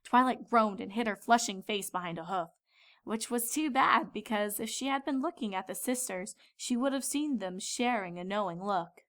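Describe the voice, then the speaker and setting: A woman's voice: medium pitch, normal speed, fairly bright tone. A woman reading scripted fan fiction narration as a storyteller.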